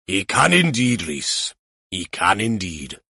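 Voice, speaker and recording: A man's voice: deep voice, sing-song, slow, gravelly, sharp tone, husky, no echo, clean studio sound, exaggerated voice. A man voicing an older police sergeant, a droll grandfather with a mock-solemn, wordy manner, in an audiobook.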